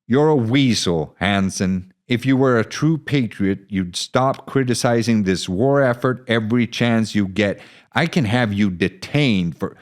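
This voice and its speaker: A man's voice: lowish voice, a little slow, voice pushed up, lightly acted. A man voicing a stern, hostile army captain in an audio story, barking with contempt for the press. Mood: angry, threatening. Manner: barking, scornful.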